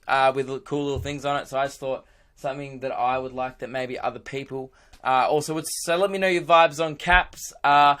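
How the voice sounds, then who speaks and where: A young man with a hoarse, worn-out voice, rambling excitedly on his own podcast, laughing and swearing as he goes. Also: on the high side. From Australia. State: hoarse, lost voice. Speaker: podcast host and radio presenter. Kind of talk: casual solo podcast chat.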